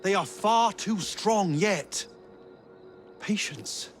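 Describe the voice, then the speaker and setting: Stern, higher-pitched male mentor. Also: lively intonation, very slow, soft background music, exaggerated voice. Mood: stern, dismissive. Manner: stern, formal, admonishing. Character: stern, cautious male senior assassin, scolding mentor. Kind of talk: video game cutscene acting.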